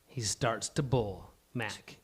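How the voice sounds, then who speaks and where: A man's voice: lowish voice, takes it slowly, slightly rough. A man reading scripted stage directions as narrator of a live comedy table read.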